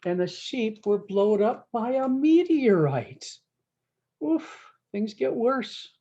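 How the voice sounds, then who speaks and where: A woman with a soft, mellow voice reads a rhyming storybook aloud. Her delivery is folksy and speaks directly to listeners. The recording is slightly dull and lo-fi. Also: on the high side, very animated, slow pace. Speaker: story time host reading a picture book. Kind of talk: read-aloud of a rhyming cowboy storybook with brief asides.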